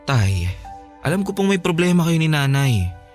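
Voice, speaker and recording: Young son in a radio drama, eager n pleading w his dad, super expressive, music under. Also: on the high side, moderate pace. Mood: tender, reassuring. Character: devoted youngest son, young, cheerful and insistent. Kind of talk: Filipino radio drama acting. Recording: music playing behind.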